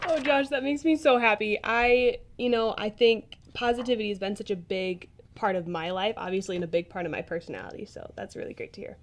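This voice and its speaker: A woman's voice: medium pitch, fairly quick, hardly any pauses, a little hiss or hum. A young woman chatting casually as a podcast guest, with an earnest, encouraging way of talking. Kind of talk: casual interview chat.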